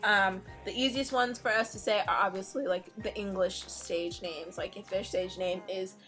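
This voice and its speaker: A young woman co-hosting a casual fan-channel video, answering questions in a relaxed, giggly way. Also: medium pitch, normal speed, faint music behind. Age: young adult. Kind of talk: casual Q&A chat.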